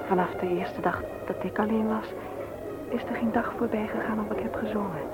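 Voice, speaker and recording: A woman's voice: medium pitch, steady tone, a little fast, loud music behind the voice, close to an everyday voice. An actress playing a candid, talkative widowed mother in a naturalistic radio play. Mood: quietly frank. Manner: talkative, confiding, forthright. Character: candid, chatty widowed mother, frank about her feelings. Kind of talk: naturalistic radio drama acting. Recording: muffled, noisy old radio play recording.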